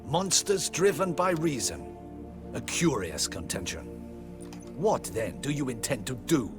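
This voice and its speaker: Swooping, theatrical delivery, haughty captain of the guard, scornful, formal old-fashioned speech, game dialogue, faint music. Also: male voice, mid-range voice, big pitch swings, unhurried. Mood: skeptical. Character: proud, scornful male captain of a ducal guard. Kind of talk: video game voice acting.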